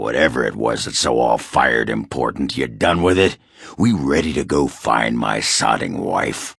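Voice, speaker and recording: A gruff dwarf warrior from a fantasy video game. His voice is extremely low and full of gravelly fry, with a forceful, hard edge. He talks bluntly and crudely, like a sardonic drinker with a grudge. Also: no echo, clean studio sound, heavily acted. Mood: mocking, impatient. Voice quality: very deep, gravelly, rough. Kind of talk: video game character dialogue.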